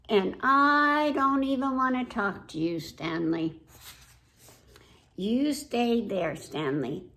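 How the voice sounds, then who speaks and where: A woman voicing a stubborn, boastful little girl from a storybook, with emphatic, defiant, drawn-out words. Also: on the high side, vocal fry, a bit bright, exaggerated voice.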